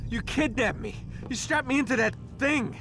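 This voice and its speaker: A man's voice: very high voice, moderate pace, noisy background. An actor playing a defiant, bewildered young man held captive in a video game, plain-spoken and incredulous. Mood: angry, incredulous. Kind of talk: video game cutscene acting.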